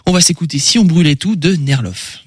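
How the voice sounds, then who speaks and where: Brisk radio presenter, high voice. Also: male voice, expressive. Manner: brisk, announcing. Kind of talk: station announcement.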